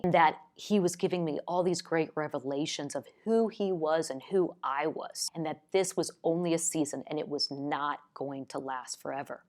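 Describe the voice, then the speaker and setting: A woman speaking in a somewhat low register, loud and outspoken by nature. She delivers an earnest, encouraging faith lesson straight to the viewer, teaching step by step. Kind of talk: earnest teaching talk to camera.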